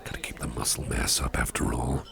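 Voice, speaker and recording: A man playing an attentive, courteous businessman boss in a soft ASMR roleplay, speaking in a breathy near-whisper with a gentle, reassuring manner. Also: very deep voice, level tone, fairly quick, crowd noise, exaggerated voice. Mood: warm, indulgent.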